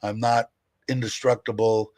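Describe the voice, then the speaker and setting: Man, 60s, low voice, dry close mic, rambling candid livestream chat. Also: flat delivery, normal speed, darkish tone, no echo. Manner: rambling, candid, with fillers and laughs. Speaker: livestream host. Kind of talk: casual livestream chat, reading viewer comments.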